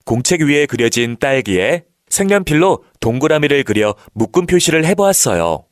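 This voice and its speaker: A man's voice: on the high side, very animated, normal speed, no room sound, studio quality. A man narrating a scripted children's story, telling it expressively for young children.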